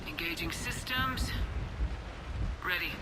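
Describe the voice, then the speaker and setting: A woman's voice: lowish voice, lively intonation, slow pace, very noisy. A woman playing a tough, determined military commander in a video game, speaking in a firm, terse, resolute way. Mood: determined, brisk. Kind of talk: video game dialogue acting. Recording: game music behind.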